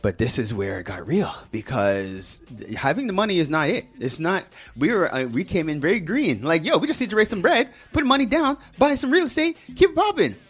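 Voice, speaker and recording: A man's voice: sits high, sing-song, a little fast, hardly any pauses, breathy edge. A man hosting a show, talking in a casual, conversational way with plenty of slang. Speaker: show host. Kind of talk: casual podcast-style talk.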